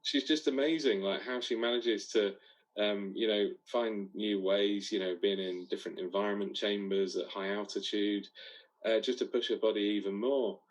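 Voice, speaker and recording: Lowish male interviewee, speaking informally. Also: steady tone, moderate pace, poor audio. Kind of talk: unscripted interview comment.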